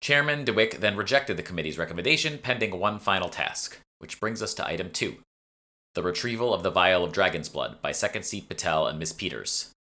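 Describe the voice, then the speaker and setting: Officious male guild secretary read by a male narrator, measured, low and forceful, fantasy audiobook. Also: lively intonation, exaggerated voice. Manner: officious, measured, formal wording. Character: formal, procedural male guild secretary running a meeting. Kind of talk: audiobook character dialogue.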